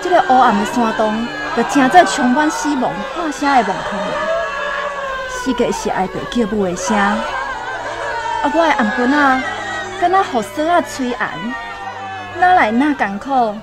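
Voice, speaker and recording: A woman's voice: high voice, lively intonation, moderate pace, loud music behind the voice, over a noisy crowd, low-quality recording. A woman with a Taiwanese accent giving dramatic first-person narration in a radio drama.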